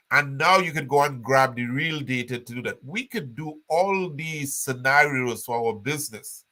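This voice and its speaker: An older man from Trinidad, telling his story as an interview guest with animated restarts. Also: a little high.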